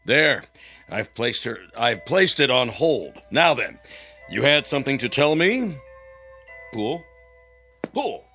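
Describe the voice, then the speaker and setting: An actor playing a pompous, sly, impatient bank boss in a radio drama, brusque and blustering. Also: male voice, mid-range voice, big pitch swings, slow, pauses often, bright, forceful tone, soft background music, heavily acted.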